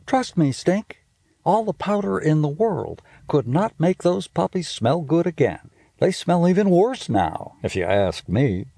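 A man voicing a teasing, bossy big-sister girl with a high, squealing voice and an exasperated, lively manner. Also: measured pace, gravelly, dry recording, clean studio sound, full character voice.

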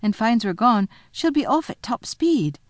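A woman's voice: a little high, sing-song, unhurried, no room sound, clean studio sound, pitched above the performer's own voice. A woman voicing an anxious schoolgirl in a storybook, worried and urging. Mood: urgent.

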